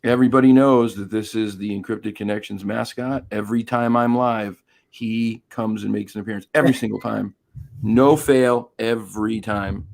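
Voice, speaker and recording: A hoarse man hosting a casual live stream, chatty and greeting viewers by name. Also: lowish voice, steady tone, normal speed, a little mellow. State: hoarse, losing his voice.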